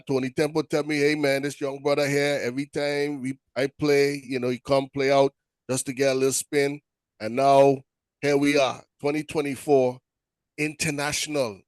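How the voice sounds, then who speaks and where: A man with a Virgin Islands accent hosts a relaxed talk show chat, his voice sitting a little high for a man. The sound is dry and close, with no room around it. He keeps things easygoing and full of praise for his guest. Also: normal speed, no room sound. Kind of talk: casual interview chat.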